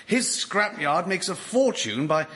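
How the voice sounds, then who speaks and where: An actor playing an earnest, worried sidekick who explains and frets in a radio sitcom. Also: male voice, high-pitched, very animated, measured pace, pushed and bright, exaggerated voice. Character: anxious, earnest male sidekick and old friend.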